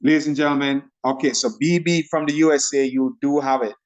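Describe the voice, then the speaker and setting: A man with a Jamaican accent blended with American and Nigerian tones, presenting a trading system to a group on a video call, talking fast and excitedly. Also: mid-range voice. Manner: excited, speaks fast and runs over words.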